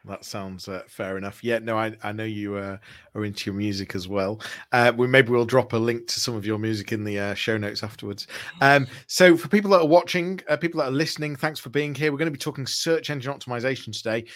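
A man's voice: mid-range voice, quick pace, a bit bright. A man hosting a marketing podcast, presenting to listeners and interviewing a guest. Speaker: podcast host and digital marketer. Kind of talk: scripted intro and live interview hosting.

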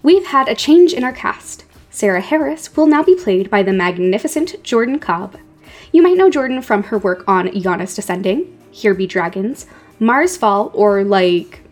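A woman speaks as a podcast host over a music bed, at a medium pitch. She reads scripted narration but slips in casual, wry asides. She repeats words emphatically for effect. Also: darkish tone.

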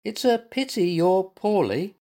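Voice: medium pitch, sing-song, measured pace, warm tone, pitched above the performer's own voice. A storyteller voicing a firm, bossy small boy who plays doctor in a children's story.

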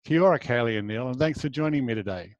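A man's voice: medium pitch, lively intonation, quick pace, dry recording, lo-fi sound. Brief: Australian male travel host, upbeat and affirming, dry close-mic sound. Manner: enthusiastic, affirming. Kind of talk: scripted intro then interview chat.

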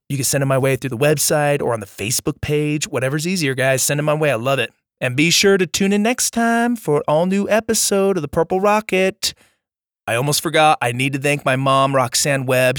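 A man hosting a children's story podcast, hoarse from losing his voice, chatting in an upbeat, friendly way to young listeners. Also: medium pitch, fairly bright tone, no echo, clean studio sound. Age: adult with young children. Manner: upbeat and friendly, addressing kids directly. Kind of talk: casual outro chat to young listeners.

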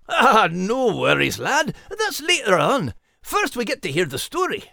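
A man's voice: sits high, wildly swooping pitch, unhurried, vocal fry, sharp tone, no room sound, studio quality, pitched above the performer's own voice, exaggerated voice. A man voicing a cheery, teasing Scottish dog in a children's story podcast, with a hearty, folksy manner. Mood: reassuring, jolly.

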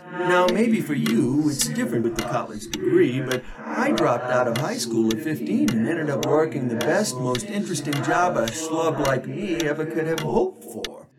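A man's voice: fairly high pitch, heavy background noise. A man playing the ghost of a wry, slangy old 1920s sportswriter in an audio drama, with a theatrical delivery. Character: ghost of a wisecracking, worldly old sportswriter, fond of booze.

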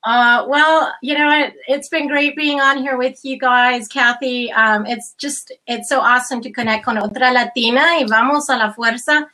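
An upbeat woman chats on a live video show as co-host, full of enthusiasm. Her voice is high and bright with a crisp edge, and she stretches her ums as she goes. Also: steady tone. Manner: enthusiastic, laughing, drawn-out fillers. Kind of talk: casual livestream chat.

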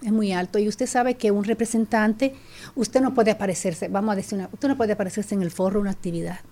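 A middle-aged Dominican woman with a Dominican Spanish accent, telling her story as a guest in an animated, casual way with frequent restarts. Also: medium pitch, fast talker. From Dominican Republic. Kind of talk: casual chat.

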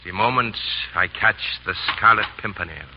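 Actor as a low-voiced, suave villain ambassador. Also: male voice, close to an everyday voice. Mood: menacing. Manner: suave, measured, coldly courteous. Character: smooth, menacing French ambassador, coldly polite villain. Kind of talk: 1930s-40s radio acting. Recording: old muffled radio broadcast with hiss.

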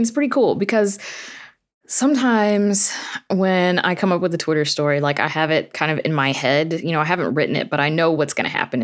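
Woman, low-pitched voice, interview guest, informal, reflective storyteller, conversational phrasing. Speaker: guest, horror fiction writer and podcast creator.

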